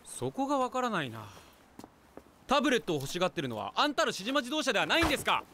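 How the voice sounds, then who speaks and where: An actor voicing a hot-headed, protective young student in an anime film, dramatic and suspicious in manner. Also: male voice, high-pitched, huge pitch swings, quick pace, noisy background, exaggerated voice. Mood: suspicious, questioning. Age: young adult.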